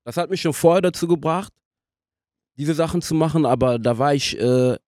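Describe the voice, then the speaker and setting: A young man speaking casually as a podcast guest, in a halting way as he searches for words. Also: on the high side, darkish tone, dry recording, clean studio sound. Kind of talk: casual interview conversation.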